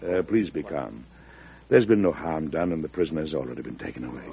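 An actor playing a firm, proud, aging American president in an old-time radio drama, with a husky voice. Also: male voice, very deep voice, animated, fast talker, exaggerated voice. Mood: calm, reassuring. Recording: dull, lo-fi old radio recording.

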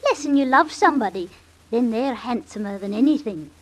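A woman's voice: a little high, expressive, moderate pace, a little mellow. An actress playing a naive, timid, lovestruck young working girl, wistful and earnest, in an old radio drama. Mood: dreamy, lovestruck.

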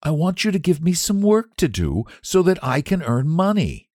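A man's voice: fairly high pitch, sing-song, moderate pace, darkish tone, no room sound, clean studio sound, voice pushed up. A man voicing a small, brave and polite poor shepherd boy in a storybook reading. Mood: earnest. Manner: pleading, polite.

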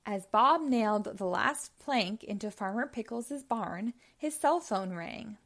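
A woman reading a story aloud for young children in a deliberate storytelling style. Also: mid-range voice, expressive, fairly bright tone.

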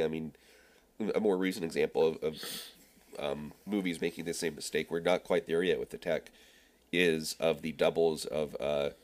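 A man with a cold, sounding husky and stuffed up, chatting casually about movies as a podcast co-host. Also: deep voice. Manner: rambling, many fillers and restarts. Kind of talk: casual movie review chat.

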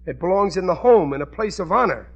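An actor playing a blustering, bumbling working-class husband in a 1940s radio sitcom, stammering and using folksy grammar. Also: male voice, sits high, expressive, fast talker. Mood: sulky. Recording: noisy vintage radio recording.

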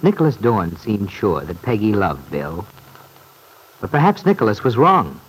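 A man's voice: fairly low pitch, sing-song, moderate pace, slight background noise, rough recording. A man narrating an old-time radio serial in scripted storytelling style.

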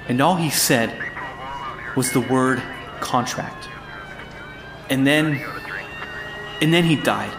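An actor playing a distraught, pleading young man accused of murder in an audio drama, speaking haltingly. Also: medium pitch, close to an everyday voice. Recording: echoey room with clear background noise.

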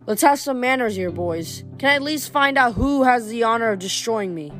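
A woman's voice: fairly high pitch, lively intonation, normal speed, fairly bright tone, soft background music. A woman voicing a sarcastic, flippant teenage boy hero in a storybook. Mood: indignant, cheeky. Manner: flippant, wisecracking.

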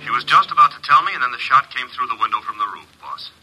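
An actor playing a brisk, cheeky detective's assistant in an old radio drama, with clipped, breezy delivery. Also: male voice, mid-range voice, animated, over music, lightly acted, low-quality recording. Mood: urgent.

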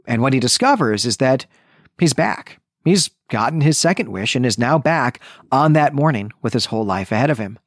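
A man's voice: mid-range voice, lively intonation, moderate pace, dry recording, clean studio sound. A man hosting a podcast, recounting and discussing a story in a conversational way. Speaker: podcast host. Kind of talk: animated story recap and literary discussion.

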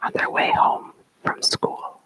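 A storyteller reading tales aloud in a soft, deliberate whisper, in an ASMR style. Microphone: close mic.

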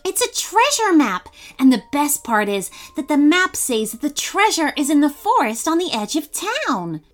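A woman voicing an excited young girl adventurer who talks in a bubbly, breathless way. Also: high voice, slow pace, soft background music, full character voice.

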